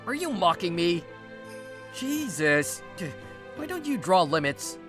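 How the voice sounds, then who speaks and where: A woman's voice: on the low side, very animated, slow pace, music in the background. A woman voicing a stubbornly guarded, exasperated young male office recruit in a manga dub, narrating and speaking his lines defensively. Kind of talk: dramatized first-person story narration and dialogue.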